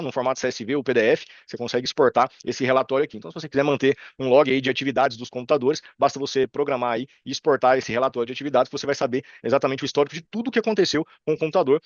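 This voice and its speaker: Casting: Brazilian male presenter, Brazilian Portuguese accent, chatty and quick, informal tutorial delivery, close dry sound with slightly lo-fi tone. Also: medium pitch, no echo. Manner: informal, chatty, checks in with listeners. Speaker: presenter demonstrating software. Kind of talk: informal live screen-share tutorial. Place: indoor room on a video call.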